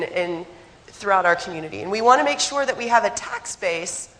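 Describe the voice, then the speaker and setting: A woman with a hoarse voice from a cold, giving a conference presentation and putting direct questions to her audience. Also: mid-range voice, a bit bright. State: hoarse, getting over a cold. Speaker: economic development consultant presenting. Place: conference hall. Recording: long hall reverb.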